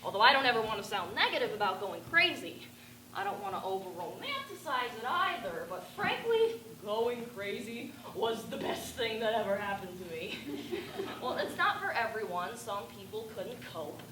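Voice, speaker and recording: A teenage girl playing an eccentric, rambling bag lady who talks to aliens, with a raspy voice and a ranting, confiding stage-monologue delivery. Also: a little high, sing-song, moderate pace. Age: teens. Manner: ranting, confiding, addressing passers-by. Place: stage performance. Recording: echoey room with background noise.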